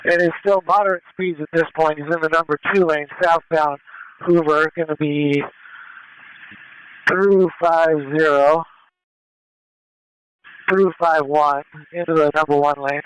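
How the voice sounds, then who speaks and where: A man calling a police pursuit over the radio, directing units in a measured, matter-of-fact way. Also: fairly high pitch, fairly even intonation, fairly bright tone, clear, resonant tone, low-quality recording. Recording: live police scanner radio transmission.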